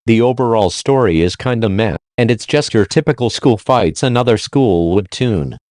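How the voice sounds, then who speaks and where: A man with a fairly low voice narrates a scripted countdown review. The sound is dry and close, and his wording is informal and peppered with slang, as a video host's would be. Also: animated, moderate pace, a little mellow, dry recording.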